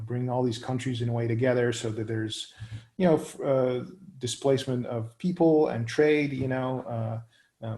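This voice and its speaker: A man in his thirties, raised in the Netherlands, answering interview questions in a hesitant, conversational way with many pauses to search for words. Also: medium pitch, dark tone.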